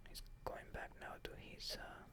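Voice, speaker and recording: A Hebrew speaker from Israel, whispering a meandering ASMR question-and-answer chat, full of soft fillers. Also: normal speed. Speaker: ASMR creator.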